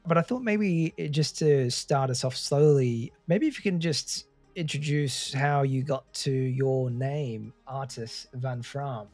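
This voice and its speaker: A man's voice: mid-range voice, slow pace, hardly any pauses, a little mellow, no room sound, soft background music. A man hosting a podcast, talking in an informal, matey, conversational way. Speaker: podcast host. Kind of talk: upbeat intro and casual interview.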